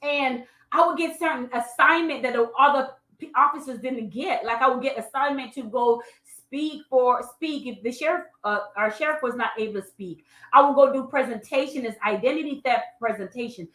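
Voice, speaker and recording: High, bright-voiced Jamaican woman coaching. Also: normal speed, echoey room, low-quality recording. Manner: emphatic, repetitive, colloquial direct address. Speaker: online business coach. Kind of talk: motivational live training with personal stories.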